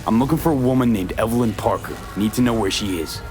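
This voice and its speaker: A male actor voices a determined video game mercenary with a fairly low voice. He is blunt and controlled, wasting few words, with music plainly behind him. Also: expressive, quick pace, lightly acted. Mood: insistent, accusing. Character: cool, determined male mercenary, former nomad. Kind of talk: video game character acting.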